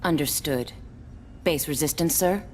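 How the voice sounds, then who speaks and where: A woman's voice: deep voice, a little slow, darkish tone, long reverb tail, slight background noise. A woman playing an earnest, disciplined soldier in a video game, speaking in clipped, respectful military style. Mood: businesslike. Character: earnest, disciplined female soldier, squad leader. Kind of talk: video game cutscene acting.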